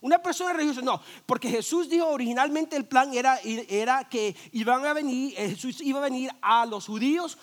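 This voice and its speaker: A man, a pastor, preaching a sermon in a lively, conversational way, full of rhetorical questions, jokes and quick restarts. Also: very high-pitched. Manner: frequent restarts and repeated words.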